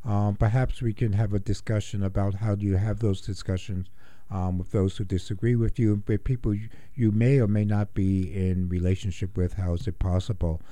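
A man hosting a community radio talk show, measured and hesitant with many ums. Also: lowish voice, little pitch movement, warm tone, no echo.

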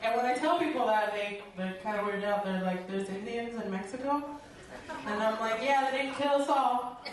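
A woman's voice: fairly high pitch, moderate pace, noisy background. A woman performing a stand-up set, wry and joking, laughing through her punchlines. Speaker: stand-up comedian. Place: comedy venue with a live audience. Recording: echoey club recording from far off, loud crowd.

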